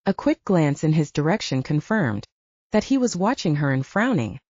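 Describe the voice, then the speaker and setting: A woman's voice: low-pitched, very animated, moderate pace, darkish tone, no room sound, highs cut off. A woman narrating an audiobook, reading scripted romance fiction.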